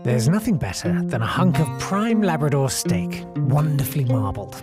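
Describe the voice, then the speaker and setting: A woman's voice: low-pitched, animated, normal speed. A woman delivering scripted promotional narration in the manner of a food documentary presenter. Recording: music bed under the voice. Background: plucked guitar music.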